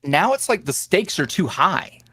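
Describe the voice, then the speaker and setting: A man guest on a podcast, an ASMR roleplay creator, talking candidly in a rambling, filler-heavy way that swings from lively bursts to low mutters. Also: moderate pace, slightly rough, no room sound. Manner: swings between animated highs and low mutters. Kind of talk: casual interview chat.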